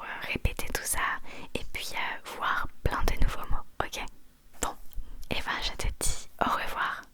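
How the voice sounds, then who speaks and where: A woman whispering an ASMR roleplay as a patient, encouraging language teacher, repeating phrases softly. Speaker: ASMR creator playing a Japanese teacher.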